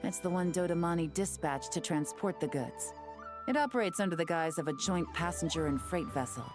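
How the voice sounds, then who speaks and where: Low-voiced, shrewd female operative played by an actress. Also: animated, a little fast, over music, close to an everyday voice. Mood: calm, approving. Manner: composed, assured, briefing allies. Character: cool, shrewd female intelligence operative, confident and composed. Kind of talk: video game voice acting.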